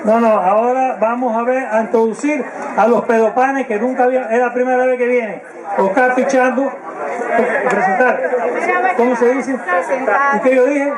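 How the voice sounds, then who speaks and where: A man's voice: extremely high pitch, fairly even intonation, fairly quick. A middle-aged man organizing a reunion, handing out gifts and bossing the crowd in an informal, fussy way. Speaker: event organizer. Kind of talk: announcing at a reunion. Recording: loud crowd and noise in a hall.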